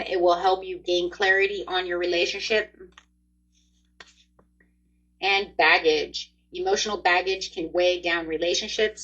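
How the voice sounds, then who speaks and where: Breathy woman in her forties reading tarot. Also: on the low side, a bit bright, dull audio. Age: 40s. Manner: upbeat, chatty, talks straight to viewers. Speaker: tarot reader. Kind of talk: casual tarot card reading.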